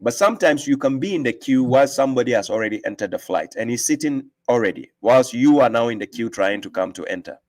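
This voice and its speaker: A man slowly reading and explaining scripture. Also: mid-range voice, expressive. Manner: reads scripture aloud with slow emphasis and explains it; asks rhetorical "right?" often. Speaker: host and religious teacher. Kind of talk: live-stream religious explanation.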